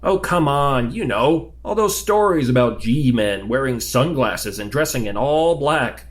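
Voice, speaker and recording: A man's voice: medium pitch, expressive, measured pace, natural voice. A man playing a curious, talkative new government agent in an audio drama, conversational, questioning and easily flustered. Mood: curious, chatty.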